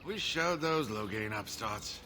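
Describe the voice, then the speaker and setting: A man's voice: on the low side, animated, unhurried, sharp tone, heavily acted. An actor playing a male warrior companion in a fantasy video game. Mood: firm.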